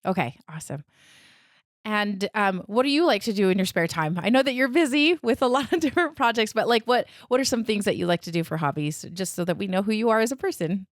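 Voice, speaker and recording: A woman's voice: mid-range voice, fast talker, no room sound, clean studio sound. A woman hosting a podcast interview, chatty and encouraging, with fillers and restarts. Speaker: podcast host.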